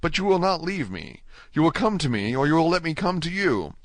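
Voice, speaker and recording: A man's voice: fairly high pitch, big pitch swings, a little fast, no echo, voice pushed up. A man voicing Dantès, a desperate young prisoner. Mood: pleading. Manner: fervent, imploring. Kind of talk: audiobook reading.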